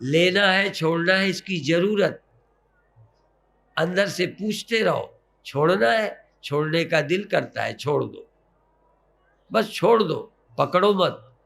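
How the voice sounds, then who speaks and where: A man leading a guided breathing meditation as a spiritual teacher, coaxing gently in short, repeated phrases. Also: high-pitched, animated, takes it slowly, gravelly, pauses often.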